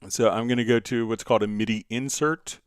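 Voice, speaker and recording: A man hosting a live stream, casually explaining music software step by step and answering viewer questions in an easygoing way. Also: mid-range voice, fairly even intonation, brisk, clear, resonant tone, dry recording, clean studio sound.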